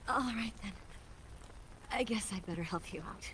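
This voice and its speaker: A woman playing a wounded young spy in a video game, defiant, teasing and weary, with a breathy, strained voice. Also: mid-range voice, moderate pace, background noise, close to an everyday voice. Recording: game audio under loud music.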